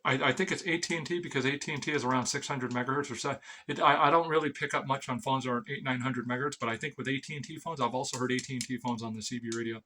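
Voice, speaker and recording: A man's voice: mid-range voice, fairly even intonation, racing, hardly any pauses, sharp tone, a little hiss or hum. A man giving a rambling, informal explainer as an amateur vlogger, blunt and sweary, often stammering over repeated words.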